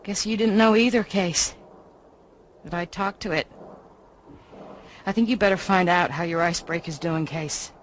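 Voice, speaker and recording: A woman's voice: lowish voice, fairly even intonation, moderate pace, pauses often, natural voice. Cool, sardonic tough woman acted out, faint noise behind. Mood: cool, confiding. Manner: clipped, wry. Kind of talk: radio drama acting.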